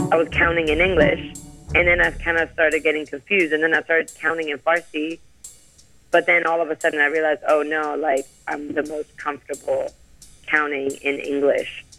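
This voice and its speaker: A man's voice: fairly high pitch, gravelly, sharp tone, poor audio. A man in his thirties who grew up in Sweden and learned Swedish first, telling his story casually and reflectively with many "like" fillers. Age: 30s. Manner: reflective, heavy use of "like" fillers. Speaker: interview guest.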